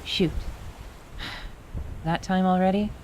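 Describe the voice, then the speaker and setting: A woman playing a cheeky, stubborn young tiefling girl in radio theater, with a playful, sassy deadpan manner. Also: lowish voice, takes it slowly, slight background noise. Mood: reluctant. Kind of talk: dramatized radio theater acting.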